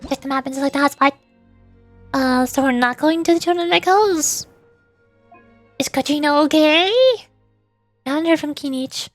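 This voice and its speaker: High-pitched playful woman streaming a game. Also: normal speed, pauses often, no echo, soft background music. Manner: chirpy sound effects and exclamations. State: sore voice from hours of streaming. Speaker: livestreamer, vtuber. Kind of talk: playful gaming stream commentary, reading game text aloud.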